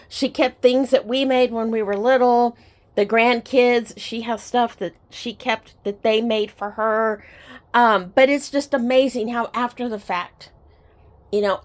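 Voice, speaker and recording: A middle-aged woman sharing personal memories in a casual, reflective, sentimental and halting way, like a vlogger talking to her viewers. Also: fairly high pitch. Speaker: storytelling vlogger. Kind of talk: personal reminiscing to camera, casual chat.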